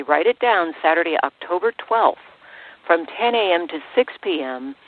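Lady hosting an advice show, medium pitch, emphatic phrasing, kinda lo-fi radio sound. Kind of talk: personal, advice-giving monologue to listeners. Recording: band-limited radio-style sound.

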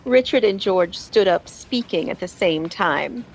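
A woman's voice: medium pitch, animated, measured pace, gravelly, breathy edge, low-quality recording. A woman narrating a scripted fantasy drama.

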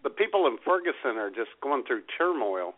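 A man with swooping, animated pitch plays up his radio banter with mock drama and sarcasm. He sounds like a comic co-host on a narrow, tinny phone connection. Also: brisk. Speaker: co-host of a political comedy radio show. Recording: tinny phone-line internet radio audio.

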